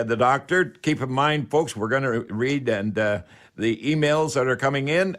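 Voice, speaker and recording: A man's voice: mid-range voice, fairly bright tone. A man hosting a live call-in radio show in a folksy, repetitive patter.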